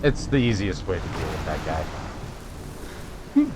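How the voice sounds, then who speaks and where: A man's voice: sits low, sing-song, unhurried. A man giving casual let's-play commentary, muttering short reactions as he plays a video game. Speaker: gamer, let's player. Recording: very noisy, game sound behind. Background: loud video game audio.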